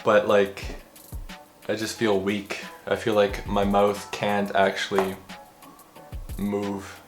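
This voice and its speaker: A man chatting casually to the camera in a room at home, self-deprecating and halting, with frequent restarts. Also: lowish voice, monotone. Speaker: vlogger. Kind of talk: casual vlog talk to camera. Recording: roomy echo with faint music.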